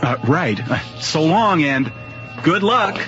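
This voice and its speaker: A man playing an earnest, excitable space scientist in a science fiction radio drama. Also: on the high side, slow pace. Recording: muffled radio recording with music behind.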